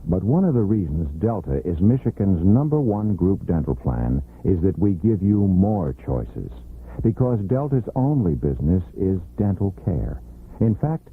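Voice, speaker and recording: A man's voice: deep voice, very animated, unhurried, husky. An American man announcing a scripted radio commercial, heard on a muffled 1980s radio recording.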